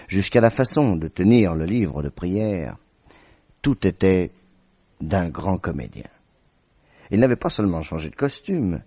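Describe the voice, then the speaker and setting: Un acteur jouant le narrateur admiratif d'une fiction radiophonique, au ton littéraire et posé. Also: male voice, sits low, a little mellow, dry recording. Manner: literary, measured. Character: admiring male narrator, the detective's companion. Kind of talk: scripted dramatic narration. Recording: dull, dry radio recording.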